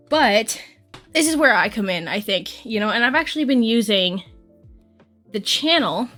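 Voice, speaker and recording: Young woman, casual get-ready-with-me chatter, slightly high voice, faint music behind. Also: a bit bright. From Quebec, Canada. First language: English. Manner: chatty, rambling, with filler "like" and "you know". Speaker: YouTuber. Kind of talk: casual chat while doing makeup.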